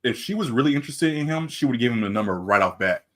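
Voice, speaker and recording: A man's voice: medium pitch, expressive, fast talker, fairly bright tone. A man co-hosting a reaction show, reacting with teasing disbelief. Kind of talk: casual reaction commentary.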